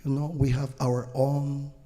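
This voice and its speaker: Panelist, man, very dark and flat, emphatic, hall echo. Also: mid-range voice, a little slow. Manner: emphatic, searching for words. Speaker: panelist, art dealer. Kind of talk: panel discussion. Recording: echoey hall.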